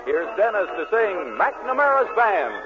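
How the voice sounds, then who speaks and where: A male announcer with a high, very lively, emphatic radio delivery. The sound is thin and tinny, like an old broadcast, with orchestra music loud behind him. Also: unhurried. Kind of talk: 1940s radio announcing and narration.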